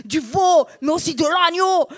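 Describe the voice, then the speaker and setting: Loud high-voiced woman shouting a menu. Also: animated, unhurried. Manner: loud, laughing, slangy. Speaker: guest reading a pizza flyer aloud. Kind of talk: comic over-the-top reading, shouting items.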